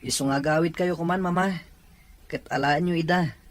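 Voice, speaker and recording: A woman's voice: deep voice, steady tone, normal speed, natural voice. Woman acting a reproachful grown-up child. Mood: reproachful, urging. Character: reproachful, responsible grown child urging mother home. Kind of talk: Ilocano audio drama acting.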